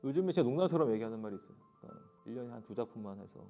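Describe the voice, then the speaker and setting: A man's voice: medium pitch, expressive, very fast, warm tone, soft background music. A man from South Korea, a stage director, giving reflective interview answers in long, explanatory sentences. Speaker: theatre and musical director, interviewee.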